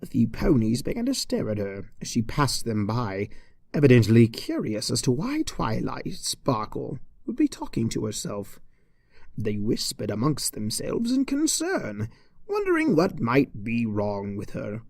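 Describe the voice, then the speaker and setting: Slow, very animated story narrator. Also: mid-range voice, gravelly, no echo. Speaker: narrator, fan fiction reader. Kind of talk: scripted comic story narration.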